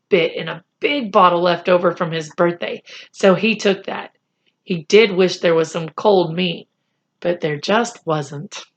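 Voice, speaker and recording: A woman reading a children's chapter book aloud as a storyteller, with deliberate, expressive narration. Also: mid-range voice. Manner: deliberate, animated.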